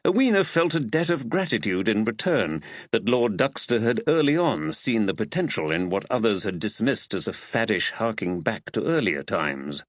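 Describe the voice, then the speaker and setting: A man narrates a mystery novel with animated intonation at a medium pitch. The scripted reading is very dry, with slightly dull sound. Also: moderate pace, few pauses, dry recording. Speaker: audiobook narrator.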